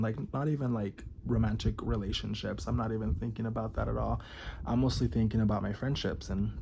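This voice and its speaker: Man, early thirties, lowish voice, candid and rambling, lots of fillers, confessional vlog delivery. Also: creaky, a little mellow, slight background noise. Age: 30s. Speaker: vlogger. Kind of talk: confessional talk to camera.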